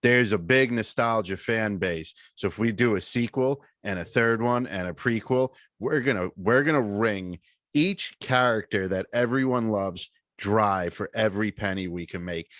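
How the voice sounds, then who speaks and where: A man co-hosting a podcast, telling things in a lively way with slang and swearing. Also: fairly low pitch, moderate pace, dry recording, clean studio sound. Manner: animated storytelling with slang and swearing. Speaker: podcast co-host. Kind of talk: casual podcast chat.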